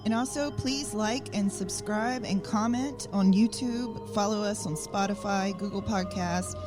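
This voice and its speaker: A woman's voice: medium pitch, measured pace. A woman reading a scripted promotional announcement for a podcast. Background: music.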